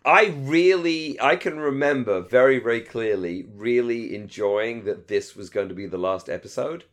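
A man's voice: mid-range voice, moderate pace. A middle-aged man co-hosting a casual fan discussion, talking emphatically but hesitantly and often interrupting himself. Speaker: podcast co-host. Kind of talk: casual chat, fan discussion of a radio drama.

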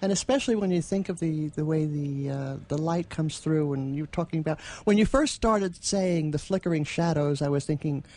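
A woman co-hosting a radio theatre show, chatting casually and reading literary prose in a measured, expressive way. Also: sits low.

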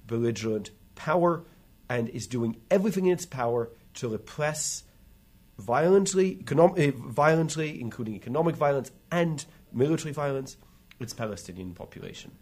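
A man giving political commentary as a guest, halting as he goes and stressing words emphatically. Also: medium pitch, lively intonation, a little mellow. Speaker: interview guest.

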